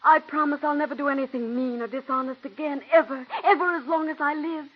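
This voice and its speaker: An actress playing a young, ambitious stage actress in a 1940s radio drama, earnest and pleading with an edge of cool menace. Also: female voice, high voice, fairly even intonation, quick pace, slight background noise, lightly acted. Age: young adult. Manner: earnest and pleading, turning coolly threatening. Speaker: aspiring actress. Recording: dull old radio broadcast.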